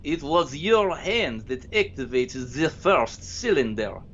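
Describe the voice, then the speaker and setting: Performer as a slow, imperious villain doctor. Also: mid-range voice, big pitch swings, a bit bright, highs cut off, slight background noise, heavily acted. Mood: coldly menacing. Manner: formal, imperious. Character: scheming, formal Soviet vampire doctor, male villain. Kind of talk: comedic audio drama acting.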